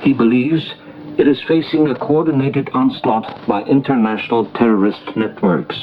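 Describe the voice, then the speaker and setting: Muffled shortwave recording, faint noise, male radio newsreader, formal scripted bulletin, measured deliberate phrasing. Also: medium pitch. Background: shortwave static and noise.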